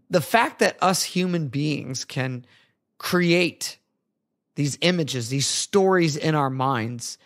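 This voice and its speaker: A man hosting a solo podcast, his voice hoarse and raspy as it slowly comes back. He talks in an earnest, chatty way and corrects himself mid-sentence. His voice sits a little high for a man. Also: a bit bright. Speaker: podcast host.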